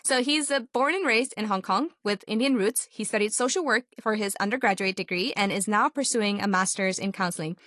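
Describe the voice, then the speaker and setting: A woman's voice: medium pitch, fairly quick, few pauses, a bit bright, dry recording, clean studio sound. A woman hosting a radio talk show, upbeat and articulate. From Pakistan. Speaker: host.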